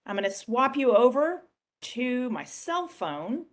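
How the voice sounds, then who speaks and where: A woman giving a casual software demonstration in a conversational, explanatory way. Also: a little high, very animated, slow pace. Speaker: software demo presenter. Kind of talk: casual screen-share product demonstration.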